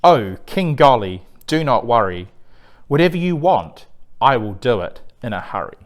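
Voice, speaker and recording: A man acts out a loyal servant to a storybook king, humble and deferential. He sounds eager to please and reassuring, with a lively rise and fall in pitch. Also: moderate pace, lightly acted.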